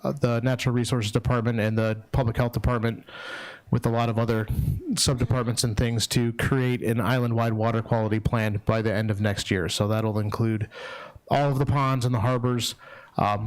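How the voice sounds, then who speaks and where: A man's voice: mid-range voice, low-quality recording. Easygoing man opening a town meeting. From not from Massachusetts. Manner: casual, many fillers and restarts. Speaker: town natural resources official hosting a public meeting. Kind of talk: informal public meeting introduction.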